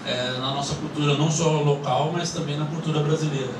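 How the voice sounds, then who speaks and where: A man's voice: on the high side, steady tone, fairly quick. Echoey far-off man hosting a panel. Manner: rambling, many fillers. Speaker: host. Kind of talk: moderating a panel talk. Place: small cinema club room. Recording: echoey room, mic from far off, noisy.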